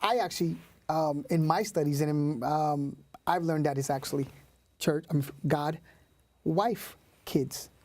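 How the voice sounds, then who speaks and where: A man's voice: fairly high pitch, unhurried, a little mellow, dry recording. A man in his thirties, born in Puerto Rico, talking casually as an interview guest with many fillers and restarts. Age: 30s. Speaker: youth leader.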